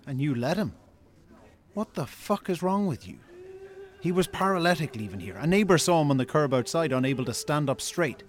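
A man acting in a radio drama as a stern Irishman, confrontational and deliberate, with cold threats. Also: on the high side, animated, close to an everyday voice. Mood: angry, accusing. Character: stern, menacing Irish brother, coolly threatening.

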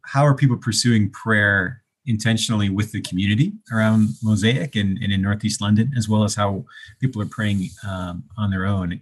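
A man who is a pastor hosting a podcast and asking a guest questions in a friendly, measured way. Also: on the low side, husky. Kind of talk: conversational podcast interview.

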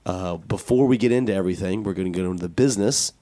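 An American man co-hosting a talk podcast in casual chat, joking and self-deprecating, with a raspy voice from a cold. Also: deep voice, fast, mellow, no room sound. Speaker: podcast and radio show co-host.

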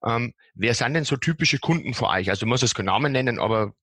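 A man's voice: medium pitch, lively intonation, fast, fairly bright tone, husky, no echo, clean studio sound. A man hosting a podcast, asking his guest conversational questions in a friendly, rambling way. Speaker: podcast host, interviewer.

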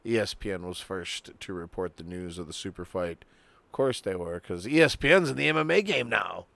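A man's voice: deep voice, lively intonation, fairly quick, creaky, a bit bright, no echo. A man co-hosting a podcast, chatting casually and reading fight news aloud with some swearing. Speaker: podcast co-host.

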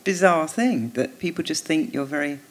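A woman guest on a live theatre podcast, talking casually in a low voice, witty and self-mocking, laughing often. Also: normal speed, a little hiss or hum. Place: theatre stage.

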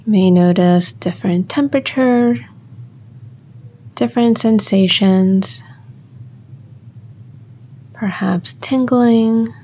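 A woman leads a guided body scan meditation in a calm, mellow voice. Her delivery is slow and gentle, with long pauses between short instructions. Her pitch sits in an average range for a woman. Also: a little hiss or hum.

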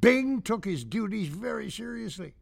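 A fussy, bookish office worker in a satirical audio drama. The voice is creaky and fairly high, rising and falling a lot, with polite, halting, mannered phrasing. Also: male voice, lively intonation, exaggerated voice. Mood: earnest, lecturing.

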